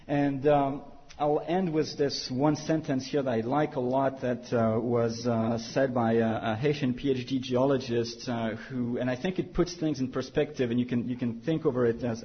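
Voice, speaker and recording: A man's voice: medium pitch, highs cut off. A man giving a conference panel talk, then answering a question, with many fillers and restarts. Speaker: panel speaker from an international agency. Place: conference hall.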